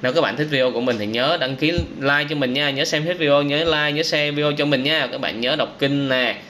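Young male host, high-ish voice, excitable kids' game commentary, faint noise behind. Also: a little fast. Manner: excitable, frequent wow exclamations, addresses viewers directly. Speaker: channel host. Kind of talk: game reaction commentary for kids.

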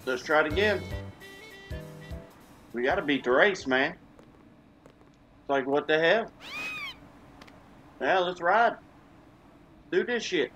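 A man's voice: a little high, expressive, slow pace, pauses often, a bit bright. A man giving casual, laid-back gameplay commentary as a gaming video host, with informal, slangy phrasing. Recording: game music behind the voice. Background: video game music.

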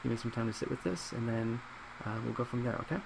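A man in his twenties speaking in a soft ASMR role play as a psychologist, hesitant, measured and reassuring, recorded in a small office. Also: medium pitch, creaky. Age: 20s. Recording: loud laptop fan noise.

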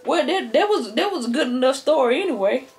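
A woman's voice: high voice, lively intonation, fast, a little mellow. A woman playing a sassy old American grandmother, stammering and repeating herself as she tells folksy tall tales on camera. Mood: defensive, sassy. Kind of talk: improvised comedic storytelling. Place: living room couch, indoors. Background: background music.

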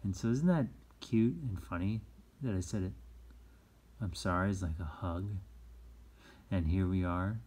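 A man's voice: low-pitched, measured pace, dark tone, husky. A man hosting a children's read-aloud, informally reading a picture book with asides and explaining things simply for young listeners.

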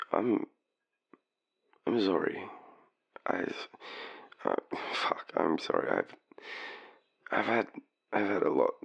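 A man's voice: deep voice, creaky, dull audio, exaggerated voice. A man with an Australian accent playing a drunk, shy, apologetic young man in an intimate boyfriend roleplay, stammering through a late-night call. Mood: awkward, hesitant. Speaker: audio roleplay performer.

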